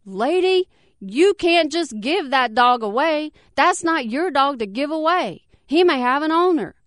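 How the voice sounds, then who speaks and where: Woman putting on a pushy phone official, raised pitch, fast, clean dry read. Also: no room sound, natural voice. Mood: shouting. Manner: stern, pushy, rapid-fire. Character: pushy male animal control officer on phone.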